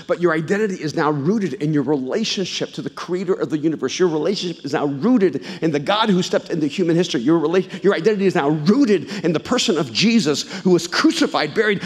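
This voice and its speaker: A middle-aged man from El Salvador preaching a sermon, swinging between loud emphatic bursts and low, intimate asides, and telling stories with frequent restarts. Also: breathy edge. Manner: conversational storytelling with repeated restarts. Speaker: pastor. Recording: long hall reverb.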